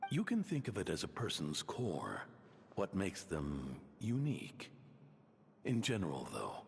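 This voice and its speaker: Deep, echoing, gentle inventor. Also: male voice, wildly swooping pitch, creaky, a little hiss or hum, exaggerated voice. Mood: gentle, reassuring. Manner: measured, earnest. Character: gentle philosophical male inventor, reassuring. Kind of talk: video game voice acting. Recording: long hall reverb, soft music behind.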